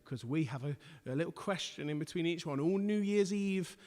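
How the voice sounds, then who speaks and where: Chatty Englishman with a loud voice. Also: fairly high pitch, a little fast, in a large hall. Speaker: musician and livestream co-host. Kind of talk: casual livestream chat. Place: living room at home.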